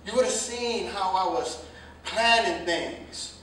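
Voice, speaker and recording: Echoey, high-voiced young working man, earnestly defensive, acted by a man. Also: slow pace, fairly bright tone. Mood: earnest, pleading. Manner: emphatic, repetitive, impassioned vernacular delivery. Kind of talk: staged reading of a stage drama. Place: live staged reading, recorded from a distance indoors. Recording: very echoey room, distant mic, faint noise.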